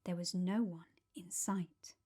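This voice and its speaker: A woman reading a children's story aloud in expressive, dramatic narration. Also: lowish voice, slow.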